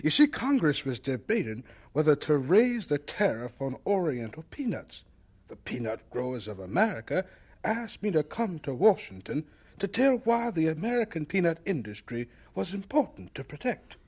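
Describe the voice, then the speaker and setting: An actor playing an elderly, humble Southern scientist in an audio drama, with a hesitant, courteous and earnest manner. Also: male voice, a little high, sing-song, normal speed, a little mellow, breathy edge. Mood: reflective.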